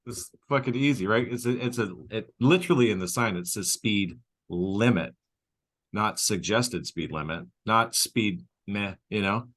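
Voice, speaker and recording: A man's voice: on the low side, unhurried. A man co-hosting a podcast in casual comedic chat, blunt, profane and joking, acting out bits of dialogue as he tells stories. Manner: animated storytelling with acted-out dialogue. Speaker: podcast co-host.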